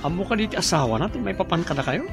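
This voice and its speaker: A woman's voice: fairly low pitch, expressive, fast talker, vocal fry. A woman with a Filipino accent playing a bossy, calculating confidant in an Ilocano radio drama. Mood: probing. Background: music.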